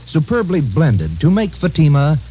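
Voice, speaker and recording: A man's voice: on the high side, wildly swooping pitch, normal speed. An American man reading a 1950 radio commercial as announcer, with a polished, emphatic sales delivery. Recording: muffled old radio recording, faint noise.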